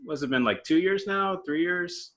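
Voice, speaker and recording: A young man hosting a podcast interview, casual and halting, full of fillers and restarts. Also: fairly high pitch, a bit bright. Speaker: podcast host.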